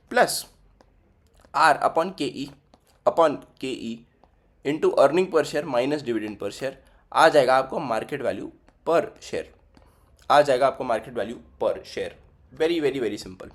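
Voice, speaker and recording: A young man teaching a finance class, working through the numbers aloud and repeating figures as he goes, switching between Hindi and English. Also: fairly high pitch. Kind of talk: recorded finance lesson.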